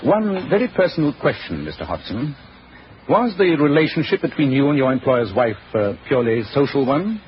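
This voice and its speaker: An actor playing a shrewd, incisive, dryly sardonic gentleman detective in a 1940s radio drama. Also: medium pitch, very animated, normal speed, lightly acted. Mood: courteous, probing. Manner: crisp, probing, dryly sardonic. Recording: muffled, noisy old radio recording.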